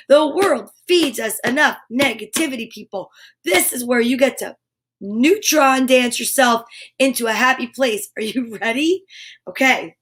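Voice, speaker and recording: A woman's voice: high-pitched, a bit bright. A woman hosting a live children's story show, chatting to viewers in an exuberant, emphatic, informal and encouraging way. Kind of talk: upbeat live chat to viewers, reading picture books aloud.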